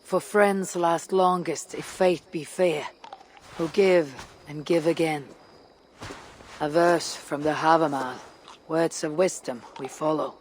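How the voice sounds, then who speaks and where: An actor playing a steadfast, earnest Viking warrior in a video game, speaking in a measured, solemn way. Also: male voice, on the high side, steady tone, a little hiss or hum. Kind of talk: dramatic video game acting.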